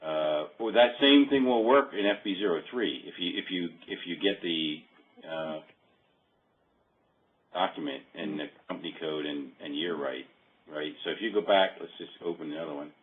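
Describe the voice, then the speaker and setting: A man giving a casual software walkthrough over a conference call, thinking aloud in a halting way. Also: sits low. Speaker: software demonstrator. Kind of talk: casual screen-share walkthrough. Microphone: phone or conference call. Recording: muffled narrow phone-like call.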